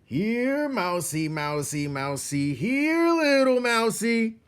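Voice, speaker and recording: A man voicing gleeful, hungry rats in a storybook, calling out loudly in a taunting sing-song. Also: very high voice, very animated, slow, clear, resonant tone, pitched above the performer's own voice, full character voice.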